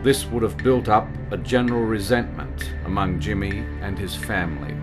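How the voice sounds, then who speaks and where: Man reading a history radio play script, lowish voice, acoustic guitar behind him. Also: normal speed. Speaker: narrator. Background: acoustic guitar music.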